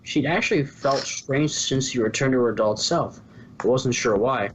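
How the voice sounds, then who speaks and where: A man's voice: mid-range voice, moderate pace. A man reading a scripted fan fiction story as its narrator. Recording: dull lo-fi, faint background noise.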